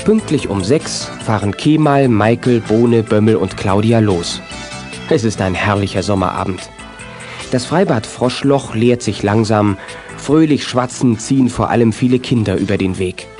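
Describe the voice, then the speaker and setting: A man's voice: lowish voice, moderate pace, music in the background, close to an everyday voice. A man narrating a scripted youth detective radio play as its storyteller.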